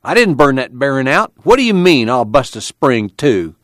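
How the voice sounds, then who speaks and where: A man's voice: mid-range voice, big pitch swings, moderate pace, a bit bright, no room sound, clean studio sound, pitched above the performer's own voice. A man voicing a proud, defensive young country man, folksy and bristling, in a storybook reading. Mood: angry. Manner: folksy rural speech, complaining and bristling.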